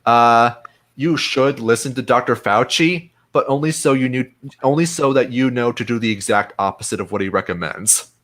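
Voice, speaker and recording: A man hosting a casual political podcast, talking in a blunt, defiant and deliberate way. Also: medium pitch, fairly bright tone. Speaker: host.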